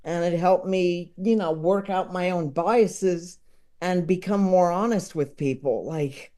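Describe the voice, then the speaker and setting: A podcast guest talking casually and reflectively, in a halting, thoughtful way, repeating words while searching for the right phrasing. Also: mid-range voice, mellow. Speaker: podcast guest, comedy enthusiast. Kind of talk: casual reflective interview talk.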